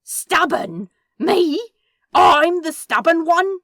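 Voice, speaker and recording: A furious, exasperated male demon, played by a woman narrator in a dramatic audio story. The delivery swings widely in pitch, biting and sputtering with hurt, in a very dry, close recording. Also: animated, extremely slow, fairly bright tone, dry recording, full character voice. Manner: sputtering, growling through clenched teeth, swearing. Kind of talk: dramatic fan fiction reading.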